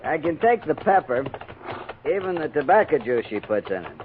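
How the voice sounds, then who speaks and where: A man's voice: a little high, big pitch swings, moderate pace, narrow, tinny audio. An actor playing a hungover, jittery, wisecracking frontier man with an American accent in a 1950s western radio play, casual and folksy. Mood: hungover, curious. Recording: noisy, band-limited old radio recording.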